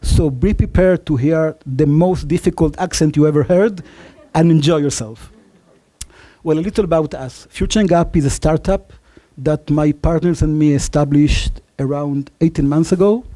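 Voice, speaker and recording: A man in his forties from Argentina with an Israeli and Spanish accent, pitching his startup on stage with many fillers and self-deprecating jokes. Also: normal speed, warm tone. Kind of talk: conference pitch.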